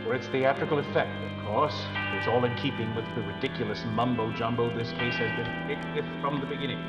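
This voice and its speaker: An actor playing a confident, rational gentleman detective in an old-time radio drama. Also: mid-range voice, steady tone, a little fast, muffled recording, over loud music, lightly acted. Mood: dismissive.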